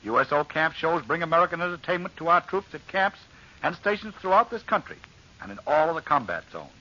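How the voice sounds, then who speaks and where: An earnest man delivers a scripted wartime-era radio appeal. His voice is fairly high, husky and lively in pitch, with formal phrasing. The recording is muffled vintage radio. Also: brisk. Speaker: radio director making a charity appeal. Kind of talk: scripted 1940s public-service appeal.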